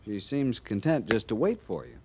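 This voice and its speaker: An actor playing an awkward, polite young cavalry lieutenant in a radio western, speaking hesitantly and earnestly. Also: male voice, lowish voice, very animated, normal speed. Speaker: junior cavalry officer. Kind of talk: old-time radio western acting. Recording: noisy old radio recording.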